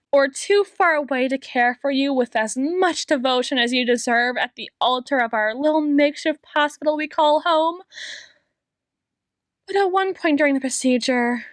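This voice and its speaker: A woman playing an obsessive, possessive female doctor in an ASMR roleplay, sweetly menacing, with a coaxing, theatrical delivery and lingering pauses. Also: sits high, dry recording, lightly acted.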